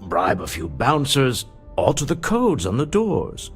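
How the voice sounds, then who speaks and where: An actor playing a guarded, gruff executive husband in a video game, husky-voiced, curt and businesslike. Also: male voice, mid-range voice, wildly swooping pitch, measured pace, faint music behind.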